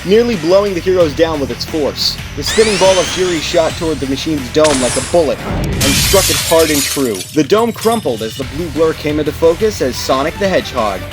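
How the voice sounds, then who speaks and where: A man's voice: a little high, animated, brisk. A man reading scripted story narration as an audiobook narrator, in a dramatic storytelling manner. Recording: music behind the narration.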